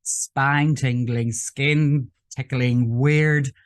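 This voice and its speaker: A man's voice: mid-range voice, steady tone, very slow, dry recording, studio quality. A man with an Irish accent, hosting a casual interview in an effusive, emphatic way. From Ireland. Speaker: interviewer, host.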